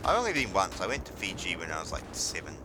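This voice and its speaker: A man's voice: low voice, huge pitch swings, fast talker, background noise. A young man with a New Zealand accent giving casual gameplay commentary and chatting. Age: young adult. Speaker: host of a gaming video. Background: faint game gunfire and artillery.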